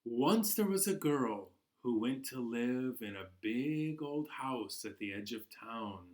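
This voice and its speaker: A man leads a children's storytime at a very slow, deliberate pace. His voice sits in a middle male range, and he reads expressively, giving phrases room to land for young listeners. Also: mid-range voice. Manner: animated, expressive, encouraging toward children. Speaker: library storytime host. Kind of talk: children's storytime, reading a picture book aloud.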